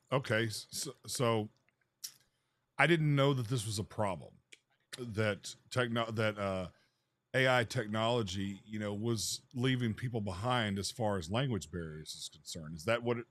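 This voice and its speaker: Laid-back low-voiced male host. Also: normal speed, a bit bright. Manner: laid-back, lots of fillers and "man". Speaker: show host. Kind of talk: casual interview chat.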